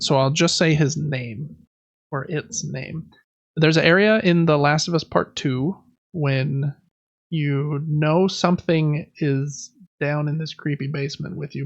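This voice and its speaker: A man's voice: on the high side, creaky, no echo, dull audio. A man co-hosting a gaming podcast, chatting in an informal, meandering conversational way. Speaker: podcast co-host. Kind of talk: casual chat about video games.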